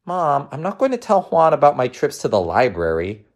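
A man's voice: medium pitch, wildly swooping pitch, normal speed, voice pushed up. A man voicing a glum, anxious young schoolboy in a storybook reading, hesitant and sulky. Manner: hesitant, downcast, protesting.

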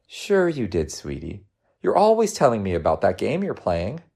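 Storybook mom acted by a male narrator: affectionate, teasing, encouraging, pitched up with very animated swings and some gravel. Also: normal speed, exaggerated voice. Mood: encouraging, playful. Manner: coaxing, affectionate, reassuring. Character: gentle, teasing, encouraging working mother.

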